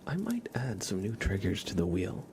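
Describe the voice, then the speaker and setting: An American man hosting an ASMR livestream, speaking in a soft, breathy whisper with an unhurried, gently reassuring manner. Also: low-pitched, huge pitch swings, noisy background. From East Coast of the United States, near New York. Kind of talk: whispered ASMR, casual livestream chat and card reading.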